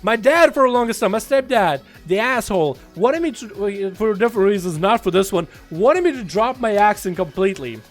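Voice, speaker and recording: A man's voice: high voice, lively intonation, brisk, hardly any pauses, soft background music. A young man from Russia with a slight Russian accent, hosting a live game stream and hollering and swearing as he thanks viewers.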